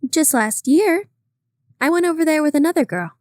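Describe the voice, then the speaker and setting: A woman's voice: high-pitched, animated, normal speed, no room sound, studio quality, pitched above the performer's own voice. A woman reading aloud, voicing a chatty, gossiping young woman with a raised, breathless voice. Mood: chattering.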